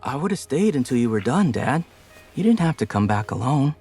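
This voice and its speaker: An actor playing a devoted young man, a grown son who banters wryly, in an animated comic drama. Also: medium pitch, fairly quick, breathy edge, faint music behind. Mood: warm, joking. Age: young adult. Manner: lively, bantering. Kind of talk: animated motion-comic voice acting.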